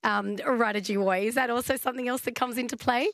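A woman's voice: on the high side, fairly even intonation, fast, a bit bright, no echo, studio quality. A woman hosting a radio interview, asking long rambling questions in a chatty, encouraging way. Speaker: radio host.

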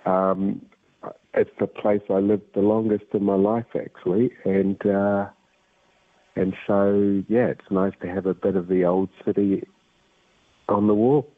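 A man's voice: lowish voice, flat delivery, unhurried, no echo. A man with a Glasgow accent calling in to a radio show, speaking hesitantly with many ums and uhs. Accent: Scottish, Glasgow. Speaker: caller. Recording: tinny phone line.

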